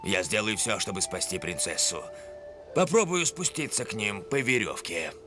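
A man voicing a brave, loyal, gentle bear in a dubbed cartoon, earnest and reassuring. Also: fairly low pitch, very animated, moderate pace, noisy background.

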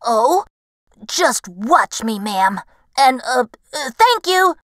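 A woman voicing a brash, eager male fox in a storybook tale, with a cocky, excitable manner. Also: high voice, takes it slowly, dry recording, studio quality, heavily acted.

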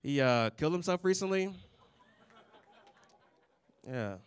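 A man's voice: on the high side, takes it slowly, clear, resonant tone. Young man doing dry stand-up. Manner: deadpan, dry delivery with chuckles at his own jokes. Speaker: stand-up comedian. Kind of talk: stand-up set. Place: comedy club stage. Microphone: handheld mic.

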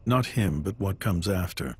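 An actor playing a cold, brooding villain of few words in a video game. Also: male voice, low voice, animated, measured pace, slight background noise. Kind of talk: video game cutscene acting. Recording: loud string music behind.

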